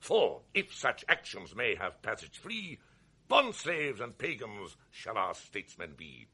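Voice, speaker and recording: An enraged old senator in a radio drama. He hurls accusations in a husky, bright voice with a wide pitch range. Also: huge pitch swings, a little slow, full character voice. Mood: indignant. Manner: accusing, fierce rhetorical outbursts. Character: outraged old father, a venetian senator. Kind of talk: 1980s radio drama acting.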